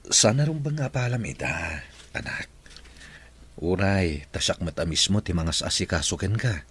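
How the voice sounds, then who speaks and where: Deep-voiced gentle father, played by a man. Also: expressive, normal speed, breathy edge, exaggerated voice. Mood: gentle, consoling. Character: gentle widowed father consoling his young child. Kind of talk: Ilocano radio drama acting.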